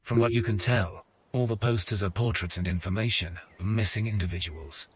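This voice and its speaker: A man giving scripted narration for a fantasy audio game in a measured storytelling manner. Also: on the low side, husky. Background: music.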